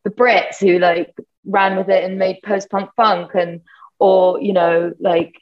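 A woman's voice: mid-range voice, level tone, takes it slowly, highs cut off. A woman with a British accent, a guest musician chatting casually and enthusiastically in an interview, rambling with lots of "like" fillers.

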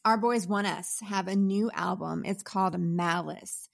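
A woman's voice: mid-range voice, a little slow, vocal fry. A woman co-hosting a podcast, upbeat as she runs through the episode lineup in casual chat. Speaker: podcast co-host.

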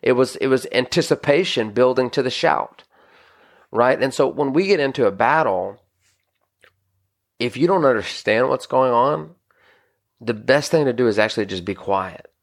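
Man, pastor, gravelly, earnest, conversational, podcast teaching. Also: mid-range voice, animated, moderate pace, long pauses. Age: adult, married. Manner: earnest, conversational, frequent restarts and "you know".

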